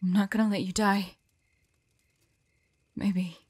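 A woman playing a weary, protective demon hunter in an audio drama, gentle yet resolute, with a measured, solemn delivery. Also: mid-range voice, little pitch movement, husky, dry recording, clean studio sound. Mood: desperate.

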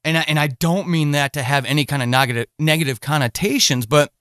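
Higher-pitched middle-aged man interviewing. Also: quick pace, fairly bright tone, no room sound, clean studio sound. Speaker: podcast host, interviewer. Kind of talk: conversational interview.

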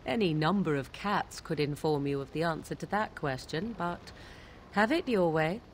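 A woman's voice: sits low, moderate pace, a little hiss or hum, natural voice. A woman playing a proud, sardonic young witch in a video game, speaking in formal, archaic, disdainful phrases. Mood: haughty annoyance. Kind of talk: video game dialogue acting.

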